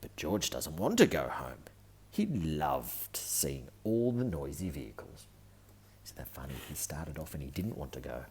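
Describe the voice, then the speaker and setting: A man reading a children's picture book aloud, with gentle, often whispered asides. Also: extremely low pitch, big pitch swings, a little slow, creaky, a little hiss or hum.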